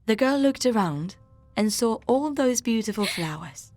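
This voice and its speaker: A woman's voice: on the high side, lively intonation, a little slow, a little mellow, dry recording. A woman narrating a scripted fairy tale for children as a storyteller. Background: faint music.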